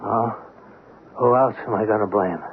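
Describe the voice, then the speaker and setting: A man playing a remorseful, distraught small-time crook, halting and earnest, in a radio drama. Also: lowish voice, steady tone, creaky. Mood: guilty, bitter. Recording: dull, noisy old radio broadcast.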